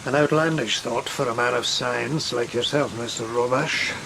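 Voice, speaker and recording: A man's voice: mid-range voice, normal speed, gravelly, lightly acted. A man playing a stern, pious sea captain with a formal, commanding manner in a gothic audio play. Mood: challenging. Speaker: actor in an audio drama. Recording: steady rain and storm noise behind.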